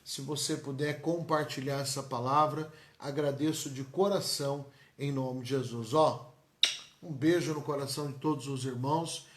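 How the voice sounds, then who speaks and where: Echoey-voiced São pastor. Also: male voice, mid-range voice, fairly even intonation, moderate pace, background noise. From São Paulo, Brazil. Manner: emphatic and repetitive, folksy asides to viewers. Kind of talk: live-streamed church announcements and blessings. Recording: echoey church room.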